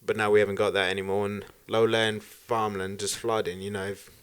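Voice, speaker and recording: A man with a fairly low voice co-hosts a casual podcast. He banters with friends in a blustering, sweary way. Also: level tone, a little fast, smooth. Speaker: podcast co-host.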